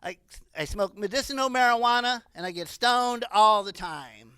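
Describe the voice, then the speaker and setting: A woman in her sixties performing a stand-up comedy monologue in an animated, rambling way. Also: mid-range voice, normal speed, clean tone, no room sound. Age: 60s.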